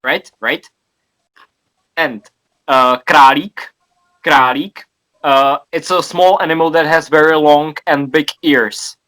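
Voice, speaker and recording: Man from Czechia, slow unhurried speech, fairly high voice, patient, repeats words carefully, casual chat. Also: steady tone, a bit bright. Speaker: language exchange participant. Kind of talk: casual video call chat.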